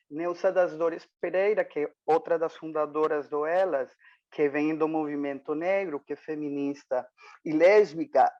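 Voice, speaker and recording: A woman from Mexico and Nicaragua with a Spanish accent, speaking as a guest on an online panel, warm and storytelling with many fillers. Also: on the low side. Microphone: video call.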